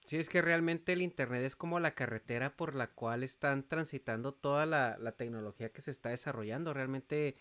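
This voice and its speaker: A man's voice: medium pitch, fairly quick, few pauses. Mexican guy, 30s, laid back, asks the questions. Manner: relaxed, asks the others questions. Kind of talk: casual chat.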